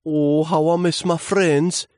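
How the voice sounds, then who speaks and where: Man voicing a high, timid little storybook leaf. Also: sing-song, slow pace, a little mellow, dry recording, clean studio sound, full character voice. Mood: wistful, lonely. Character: lonely, timid little autumn leaf, eager and naive.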